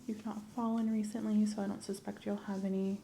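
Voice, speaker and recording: A soft-spoken woman, often whispering, doing an ASMR medical exam roleplay in a gentle, clinical manner. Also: mid-range voice, level tone, a little fast, vocal fry, a little hiss or hum. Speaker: ASMR performer playing a medical examiner.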